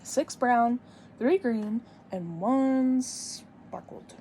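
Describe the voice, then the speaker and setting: A woman's voice: a little high, extremely slow, dark tone, slight background noise. A woman voicing a helpful young brother in a picture book, emphatically counting off items.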